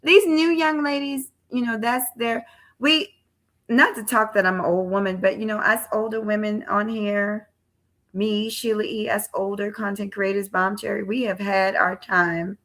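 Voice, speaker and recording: A woman's voice: medium pitch, steady tone, moderate pace, a bit bright. A woman in her forties hosting a live stream, chatting casually with frequent restarts and repeated words. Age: 40s. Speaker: live-stream show host.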